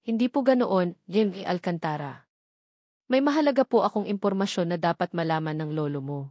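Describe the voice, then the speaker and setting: A woman's voice: on the low side, expressive, a little fast, darkish tone, no room sound, clean studio sound. A woman narrator reading the part of an earnest, determined woman lawyer in a dramatic story. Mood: insistent.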